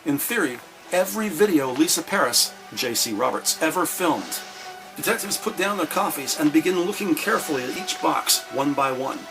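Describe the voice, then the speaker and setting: A man's voice: fairly high pitch, expressive, normal speed, gravelly, low-quality recording. A man reading scripted narration for a detective crime drama. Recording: music under the voice. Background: background music.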